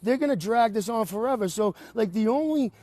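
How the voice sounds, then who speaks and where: A man in his forties with a Boston accent talks at length, animated and rambling, often starting sentences over. His voice sits high for a man, and the sound is close and dry, with no room to it. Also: fairly quick, dry recording. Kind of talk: casual talk-show call.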